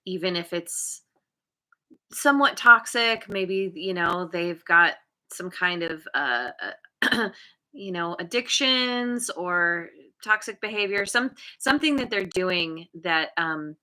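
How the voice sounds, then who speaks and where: Woman, tarot reader, unhurried, crisp bright tone, hesitant, lots of ums and restarts. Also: fairly low pitch, animated. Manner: hesitant, many fillers and restarts; chatty, laughs at herself. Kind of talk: casual tarot reading.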